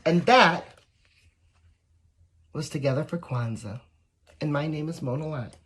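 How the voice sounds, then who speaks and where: A woman reading a picture book aloud to young children, expressive and encouraging, with asides to the listeners. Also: low-pitched, unhurried, husky. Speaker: volunteer story reader.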